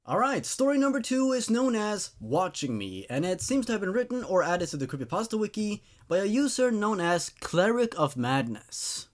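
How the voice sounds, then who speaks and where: A man hosting a horror story show, speaking in an enthusiastic, emphatic, casual review style and often repeating words. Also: on the high side. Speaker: host reading and reviewing horror stories.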